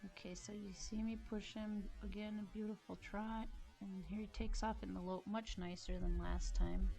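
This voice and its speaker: Relaxed woman narrating her horse video. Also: mid-range voice, creaky, poor audio. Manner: relaxed, halting, many fillers. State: sniffling. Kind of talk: casual voice-over commentary.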